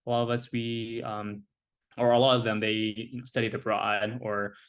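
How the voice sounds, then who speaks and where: A young man with an American accent and a fairly low voice talks as a podcast guest. His delivery is casual and easygoing, scattered with fillers as he thinks aloud. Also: normal speed, clear, resonant tone. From United States. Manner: relaxed, filler-heavy speech with many "like" and "you know". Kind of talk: casual conversational interview.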